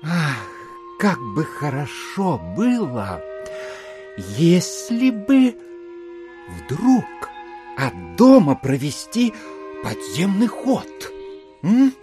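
An actor playing a dreamy, sentimental, idle landowner in an audio drama, with a drawn-out musing manner that repeats words. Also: male voice, high voice, huge pitch swings, mellow, faint music behind, full character voice. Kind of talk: theatrical audio drama acting.

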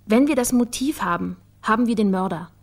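An actress playing a sharp, methodical investigator for the prosecutor in a radio crime drama, explaining things in a matter-of-fact way. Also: female voice, on the high side, brisk. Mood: analytical. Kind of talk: naturalistic German radio crime drama acting.

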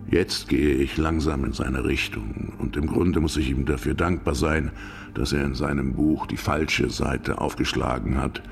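A world-weary lover in a radio play, resigned to death. His voice sits extremely low and crackles with gravel, brooding and deliberate over music. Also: heavily acted. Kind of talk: German radio drama acting.

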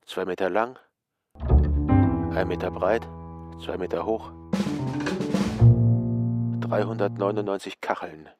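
An actor voicing a bleak, detached man in a German radio play, speaking in deliberate fragments over guitar music. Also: low-pitched, fairly even intonation, muffled recording. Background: loud plucked guitar music.

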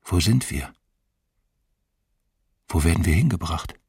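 An actor playing a solemn man reciting a mournful poem with deliberate phrasing in a radio play. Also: low voice, lively intonation, darkish tone, husky, no room sound, distant mic, exaggerated voice.

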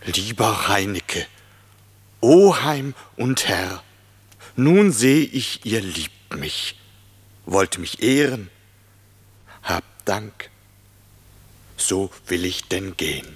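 Slow, husky, naive ram. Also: male voice, fairly low pitch, very animated, heavily acted. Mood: grateful. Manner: gullible, eager to please. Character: naive, vain, trusting male ram courier. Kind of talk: German classic radio play acting.

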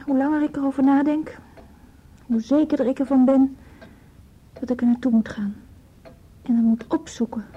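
An actress playing a cautious, unhurried woman in a Dutch radio play, speaking in a mild, hesitant manner. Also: sits high, fairly even intonation, husky. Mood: thoughtful, hesitant. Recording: dull muffled radio play recording.

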